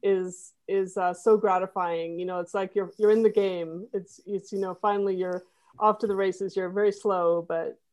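A woman's voice: mid-range voice, fairly even intonation, moderate pace, a little mellow. A woman guesting on a podcast, chatting casually in an upbeat, encouraging way. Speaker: guest, comedy fiction podcaster. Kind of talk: casual interview chat.

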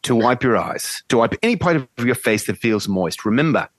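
A woman's voice: extremely low pitch, lively intonation, a little fast, dry recording, studio quality. A woman playing an arrogant, imperious male phonetics professor in an amateur play reading. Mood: commanding. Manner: imperious, brusque, theatrical.

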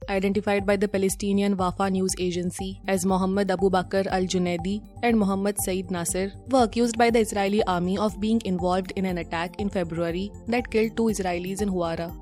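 A woman's voice: medium pitch, a little mellow. A woman with an Indian accent reading a scripted news bulletin as presenter.

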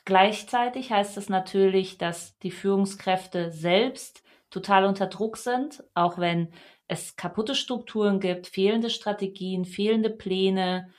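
A woman's voice: medium pitch, takes it slowly. A woman talking casually and giving her view as a podcast co-host.

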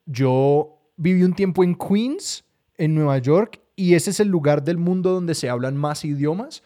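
A man with a fairly high voice talks through his ideas in a relaxed conversation. He is thoughtful and hesitant, stopping often on fillers. The chat sounds easy and unhurried. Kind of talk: casual conversation.

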